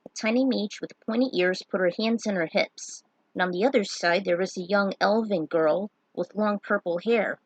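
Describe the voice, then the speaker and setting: A woman narrates a scripted fantasy tale. Her voice is mid-pitched for a woman and a bit husky. The recording is dry, with very little room sound. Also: normal speed, no echo, low-quality recording. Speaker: storyteller reading fan fiction.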